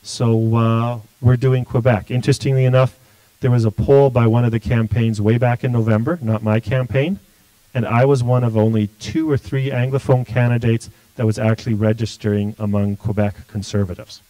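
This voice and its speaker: A man's voice: mid-range voice, fairly even intonation, normal speed, dark tone, no echo, low-quality recording. A middle-aged man, answering questions as a political candidate in a blunt, forthright way. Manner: blunt, forthright, restarts often. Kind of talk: livestream interview answers.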